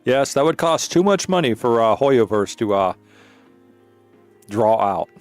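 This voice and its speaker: A man's voice: medium pitch, animated, measured pace, no room sound. Male streamer, mid-range voice, wry mock-dramatic game commentary, dry close mic, music behind. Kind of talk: joking gameplay commentary.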